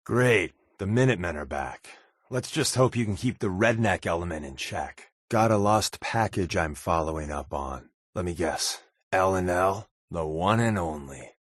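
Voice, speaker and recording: A man's voice: low voice, animated, moderate pace, no room sound, studio quality. A man playing a wry, wisecracking undercover agent in a video game, with a casual, sardonic delivery. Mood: guarded, dry. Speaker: voice actor playing a game companion. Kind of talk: video game character dialogue.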